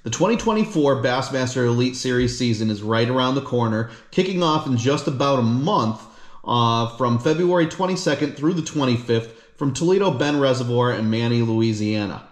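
An upbeat man in his thirties addresses his viewers straight to camera from a living room. His scripted delivery is of ordinary pitch and rings with a noticeable room echo. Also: lively intonation, moderate pace. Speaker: fishing channel host. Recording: echoey room.

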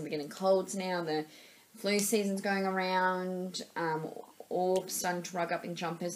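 Australian woman in her thirties, rambling webcam vlogger with a stuffy nose, low-ish voice, faint hiss in the background. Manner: rambling, many fillers and repeats. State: stuffy nose, sore throat. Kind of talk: casual vlog chat. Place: small room indoors. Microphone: webcam at desk level.

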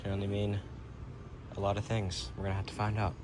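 A man's voice: fairly low pitch, completely flat delivery, fairly quick. A man working as an HVAC technician, narrating a job in an offhand, matter-of-fact way outdoors on a phone camera. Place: outdoors beside AC units, rainy. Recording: loud outdoor background noise.

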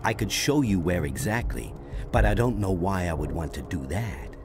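Deep-voiced, smug bandit boss. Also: male voice, animated, normal speed, music in the background. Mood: smug, menacing. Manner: sardonic, taunting. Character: smug menacing male bandit leader. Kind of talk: video game voice acting.

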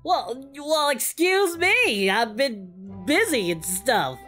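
A woman's voice: high-pitched, sing-song, slow pace, bright, forceful tone, soft background music, heavily acted. A woman playing a goofy, easygoing and boastful male anime warrior hero in improvised, folksy, rambling parody game chat. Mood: flustered. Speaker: host of a gaming channel.

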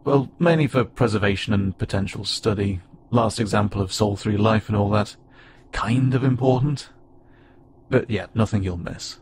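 A man voicing a friendly, curious, slightly awkward alien spacefarer in a conversational audio roleplay, speaking haltingly and wryly. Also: fairly low pitch, noisy background, lightly acted. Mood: matter-of-fact, reassuring. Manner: casual, halting, gently wry. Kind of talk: conversational audio roleplay acting to an unheard listener.

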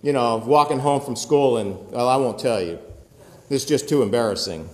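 A man's voice: medium pitch, moderate pace. A man in his fifties preaching a sermon in a conversational way, filmed close up on a phone in a church sanctuary. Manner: conversational preaching with gestures and asides. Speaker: pastor. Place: church sanctuary with high ceiling. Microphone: phone camera close up, looking upward. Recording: echoey church with long reverb.

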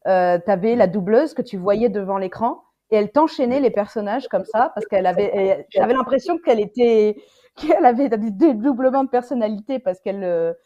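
A woman's voice: fairly high pitch, warm tone. A woman on a panel chatting casually in informal, slangy language over a video call. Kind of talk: casual chat. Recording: muffled video call audio.

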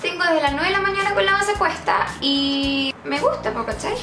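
A young Venezuelan woman talks to camera in a high, bright voice, joking at her own expense and slipping Chilean slang into her answers. The sound is roomy and echoey. Music plays clearly behind her. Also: normal speed. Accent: Venezuelan, putting on Chilean slang. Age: 20s. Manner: playful, self-mocking, laughing often, animated hand gestures. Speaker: YouTuber. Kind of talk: casual vlog answering questions.

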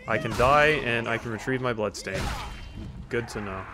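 A man's voice: medium pitch, moderate pace. A man doing casual, wry gameplay commentary as a let's play host, teasing the characters and reading game text aloud. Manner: reads on-screen text aloud. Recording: faint background game noise. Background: video game sounds.

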